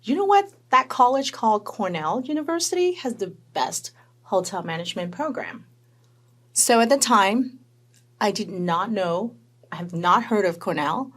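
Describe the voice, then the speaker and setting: A woman who grew up in Hong Kong, a guest telling her story in a conversational interview with lively, animated storytelling. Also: mid-range voice, moderate pace.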